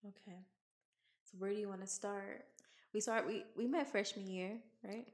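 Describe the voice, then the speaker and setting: A woman's voice: mid-range voice, steady tone, moderate pace. A woman in her twenties from Arkansas, co-hosting a casual podcast, chatty and repeating herself as she talks. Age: 20s. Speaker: podcast co-host. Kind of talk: casual chat.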